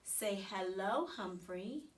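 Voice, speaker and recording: A woman voicing a shy, gentle schoolgirl who speaks in a confiding way in a storybook reading. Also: medium pitch, lively intonation, takes it slowly, far from the mic, a little hiss or hum, low-quality recording. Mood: gently coaching.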